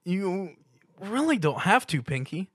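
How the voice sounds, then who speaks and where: A man's voice: high voice, sing-song, a little slow, a bit bright, slightly rough, dry recording, studio quality, voice pushed up, heavily acted. A man voicing an awkward, geeky young male cartoon pony with a hesitant, stammering manner in a story reading. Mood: sheepish. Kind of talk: dramatic fan fiction reading.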